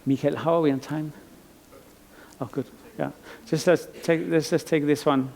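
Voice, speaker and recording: Gravelly-voiced man giving a rambling lecture. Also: a little high, steady tone, normal speed, a little mellow, slight background noise, low-quality recording. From Iceland. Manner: thoughtful, rambling with frequent restarts and fillers. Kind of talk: conference lecture. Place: lecture stage.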